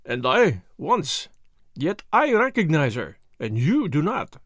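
A male narrator puts on a fussy little Belgian detective with a French accent, pompous and sure of his own genius. The voice sits high and swings freely in pitch. It is recorded close and dry, with no room sound. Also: big pitch swings, slow, a bit bright, no echo, full character voice. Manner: pompous, precise, self-satisfied. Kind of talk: audiobook character voice.

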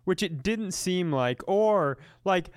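A man with a smooth, resonant voice recorded very dry tells casual comic stories as a podcast host. He is animated and profane, leaning into exaggerated disbelief as he banters. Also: high voice, slow pace, dry recording. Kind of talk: casual comedic storytelling banter.

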